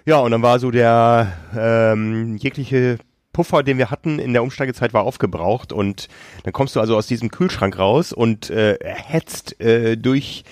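A man's voice: medium pitch, moderate pace, darkish tone, no echo, studio quality. A man co-hosting a podcast, telling stories in a casual chat while calling in remotely from a hotel, slightly ill with a cough and laughing often. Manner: chatty storyteller, laughs often. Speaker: podcast co-host. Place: hotel on a tropical island. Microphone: remote call.

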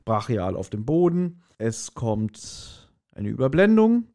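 Casual man chatting, medium pitch. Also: very animated, takes it slowly, mellow. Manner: colloquial, slangy, acting out quoted lines. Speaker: podcast host. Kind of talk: casual chat, retelling an audio drama.

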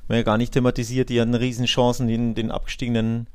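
A man's voice: mid-range voice, completely flat delivery, racing, darkish tone, clean tone, no room sound, studio quality. A man casually discussing football as a podcast co-host.

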